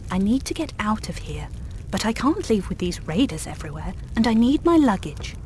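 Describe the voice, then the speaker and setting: A woman playing a brisk, bossy, self-assured adventuress in a video game, with a wry, commanding manner. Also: medium pitch, sing-song, darkish tone, close to an everyday voice, poor audio. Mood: wry, businesslike. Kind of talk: video game character acting. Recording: game noise behind.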